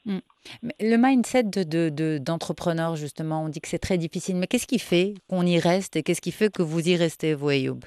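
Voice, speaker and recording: A woman's voice: lowish voice, normal speed, warm tone, no room sound, studio quality. A woman interviewer asking probing, reflective questions in a conversational way, searching for her words.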